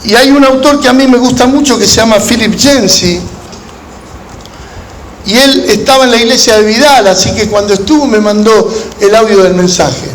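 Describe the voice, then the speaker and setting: High-pitched Argentine male preacher in an echoey room. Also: moderate pace. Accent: Argentine Spanish. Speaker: pastor. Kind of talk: sermon to a gathering of pastors, with storytelling. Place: large room at a church conference. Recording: echoey hall with background noise.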